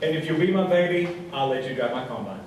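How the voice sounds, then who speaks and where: A man's voice: fairly high pitch, lively intonation, quick pace, noisy background, close to an everyday voice. A man playing a folksy, obsequious small-town radio host in a comic stage radio show. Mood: playful. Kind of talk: comic radio theatre acting. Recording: very echoey hall, distant mic.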